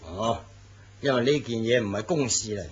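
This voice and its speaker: An actor playing an arrogant, domineering company president in a radio drama. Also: male voice, fairly low pitch, normal speed, breathy edge. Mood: commanding. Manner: curt, domineering. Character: arrogant middle-aged male company president. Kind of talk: Cantonese radio drama acting. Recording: dull lo-fi recording.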